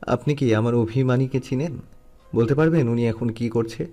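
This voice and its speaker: A man's voice: mid-range voice, moderate pace, dark tone, natural voice. A man playing a possessive, teasing, lovestruck young man in an audio story. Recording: music under the voice.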